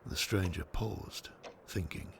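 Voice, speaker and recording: A man's voice: sits low, takes it slowly, gravelly, a bit bright, a little hiss or hum. A man reading scripted, storybook-style narration for an audio drama.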